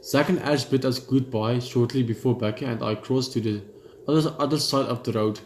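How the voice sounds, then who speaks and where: A man gaming, reading a horror visual novel's narration aloud in a steady read, dropping blunt side remarks. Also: medium pitch, normal speed, echoey room, slight background noise. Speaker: gamer.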